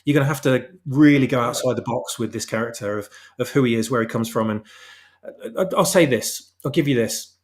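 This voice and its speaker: A man with a mid-pitched voice talks as a guest author in a casual interview. He explains haltingly, then leans on repeated phrases for emphasis. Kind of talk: casual explanatory chat about own story.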